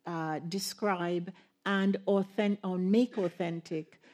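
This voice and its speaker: A woman speaks at a public event, answering a question from the audience. Her voice sits fairly low and she talks very slowly. Her answer halts and restarts often as she thinks it through. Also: steady tone, darkish tone. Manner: halting, thoughtful, many pauses and restarts. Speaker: guest author on panel. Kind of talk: slow reflective answer to audience.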